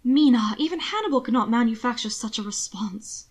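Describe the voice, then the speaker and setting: A woman plays a weary gentleman in an audiobook story. He speaks with polite restraint and earnest feeling. His manner is subdued, yet he holds his ground gently. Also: on the high side, animated, brisk. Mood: firm, earnest. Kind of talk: audiobook character dialogue.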